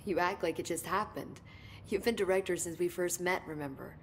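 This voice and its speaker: An actress playing an earnest, sharp-witted research scientist in a video game, articulate and clinical in her wording. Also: fairly low pitch, brisk, slight background noise, natural voice. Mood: earnest, analytical. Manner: articulate, clinical wording, emphatic when stirred. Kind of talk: naturalistic video game acting.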